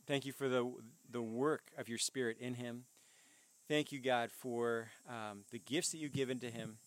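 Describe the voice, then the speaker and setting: A man's voice: mid-range voice, steady tone, moderate pace, no echo. A man who is a pastor, welcoming listeners, introducing a guest preacher and praying. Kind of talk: church welcome and prayer.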